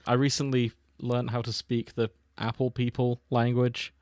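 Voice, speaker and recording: A man's voice: medium pitch, flat delivery, moderate pace, a little mellow, no room sound. Dry-sounding male podcast co-host, joking casually. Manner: jokey, giggly rambling. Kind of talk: casual comic chat.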